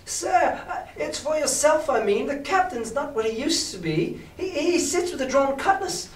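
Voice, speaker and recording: Man as young boy, high pitch, polite, trembling, pleading, storybook, distant mic. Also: sing-song, normal speed. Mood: frightened pleading. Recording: roomy, distant mic with background noise.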